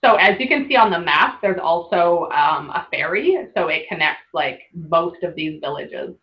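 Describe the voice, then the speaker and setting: A woman's voice: fairly low pitch, lively intonation, moderate pace, dull audio. A woman hosting an online workshop, chatting casually through slides with lots of laughs. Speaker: workshop host presenting slides. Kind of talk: casual webinar presentation.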